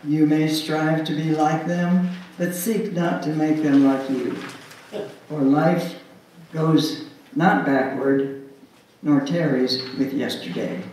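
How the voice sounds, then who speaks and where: An older woman leading a church service, reading formally and deliberately from the pulpit. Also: low-pitched, steady tone, a little mellow. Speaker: worship service leader. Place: church sanctuary, indoors. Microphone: pulpit microphone, recorded by far camera. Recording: very echoey, distant, faint room noise.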